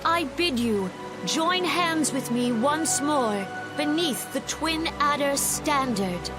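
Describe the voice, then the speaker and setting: An actress playing a solemn, compassionate leader of a forest nation, giving a formal memorial speech in a video game with stately, measured delivery. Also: female voice, high-pitched, lively intonation. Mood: earnest, uplifting. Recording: music playing behind the voice.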